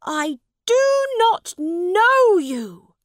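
A woman's voice: extremely high pitch, very animated, drawn-out words, pushed and bright, dry recording, clean studio sound, pitched above the performer's own voice, heavily acted. A woman voicing an indignant young boy prince who insists on his rank, in a storybook reading. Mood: defiant. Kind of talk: storybook character voice.

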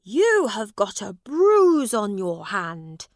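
A woman voicing a lordly, eager young English prince in a storybook, speaking emphatically with drawn-out words. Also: mid-range voice, huge pitch swings, no room sound, studio quality, exaggerated voice. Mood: concerned, commanding. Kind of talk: storybook character voice.

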